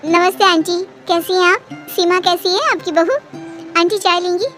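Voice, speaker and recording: A woman voicing a chatty, breezy young daughter-in-law in an animated family story. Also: very high voice, moderate pace, soft background music. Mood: friendly. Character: chirpy, over-talkative young daughter-in-law.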